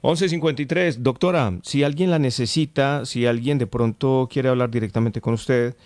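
A man's voice: mid-range voice, moderate pace, a little mellow, dry recording. A man with a Colombian accent hosting a radio show in an informal, chatty way, often repeating his phrases. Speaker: radio host. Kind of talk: casual late-night radio chat with listeners.

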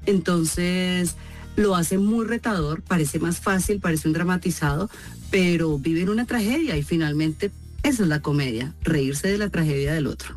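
Animated Colombian woman with faint music behind. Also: fairly low pitch, moderate pace. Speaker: voice actress guest. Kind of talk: interview answers.

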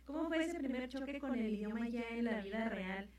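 A woman's voice: on the high side, expressive, fairly quick, very echoey, distant mic, crowd noise. A woman hosting a radio interview, asking conversational questions. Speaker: host.

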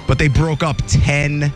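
A man hosting a morning radio show, telling entertainment news in a jokey, animated way. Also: slow, music in the background. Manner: animated, jokey, voice jumps high for emphasis.

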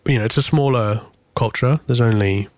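A man's voice: medium pitch, normal speed, a little mellow, no room sound. A man in his thirties from Corfu with a lightly Greek-tinged English accent, giving reflective interview answers and often searching for words. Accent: English with Greek influence. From Greece (Corfu), English mother. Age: 30s. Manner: thoughtful, searching for words, many restarts and fillers. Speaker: interview guest, singer.

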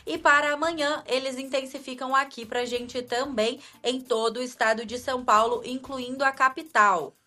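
A young woman from Espírito Santo gives a radio weather report in a mixed Espírito Santo and Minas Gerais accent. Her voice sits fairly high and rings bright and crisp. She explains things clearly and at a measured pace. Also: steady tone. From Espírito Santo, Brazil.

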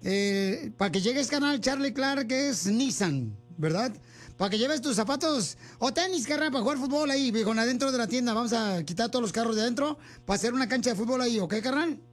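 A man's voice: very high-pitched, animated, a little fast. A man hosting a rowdy radio call-in show, joking and teasing callers. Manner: loud, joking, teasing, bursts into shouts and laughs. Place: radio studio.

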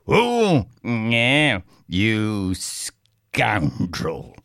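Man doing a slow, low, pompous villainous magistrate. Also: animated, creaky, no echo, studio quality, exaggerated voice. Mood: enraged, threatening. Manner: pompous, drawn-out threatening delivery. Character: haughty, menacing male land agent and magistrate.

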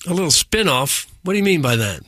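A male radio host with a bright, somewhat high voice that swings widely in pitch. He answers a caller with dry, exasperated quips, speaking into a studio mic. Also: very animated, fast. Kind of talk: casual radio talk.

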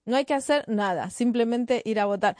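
A woman's voice: fairly high pitch, normal speed, dark tone, no room sound, studio quality. A woman with an Argentine accent asking questions conversationally as an interviewer.

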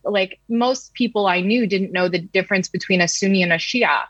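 A woman's voice: medium pitch, fairly quick, a bit bright, no room sound, dull audio. A woman in her thirties from the United States, a news reporter speaking candidly and conversationally. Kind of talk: interview recollection.

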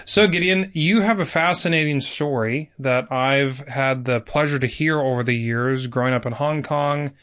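A man's voice: mid-range voice, normal speed, hardly any pauses, fairly bright tone, clear, resonant tone. Chatty upbeat male podcast host. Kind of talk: casual podcast chat.

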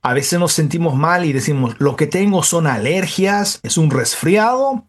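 A man hosts a health interview program, his voice sitting a little high. He introduces topics smoothly and chats with his guest. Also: animated, moderate pace. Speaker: health show host.

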